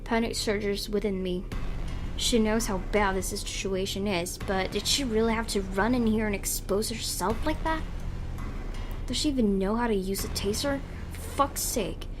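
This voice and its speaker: A woman hosting a gaming video, reading a story dramatically aloud with casual commentary. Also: mid-range voice. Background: music behind the voice.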